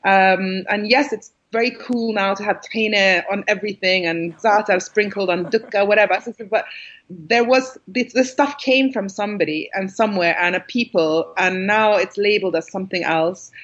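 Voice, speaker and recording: A woman's voice: mid-range voice, moderate pace, highs cut off. A British woman, a cookbook author, talking frankly in a casual interview, full of fillers and quoted dialogue. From Britain. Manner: animated, frank storytelling with frequent fillers.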